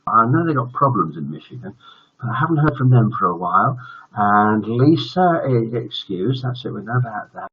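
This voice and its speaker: A man's voice: lowish voice, lively intonation, normal speed, husky. An older man hosts a casual livestream for children, chatting to viewers in a jokey, rambling way that often trails off. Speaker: host of a children's bedtime story livestream. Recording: dull lo-fi livestream audio.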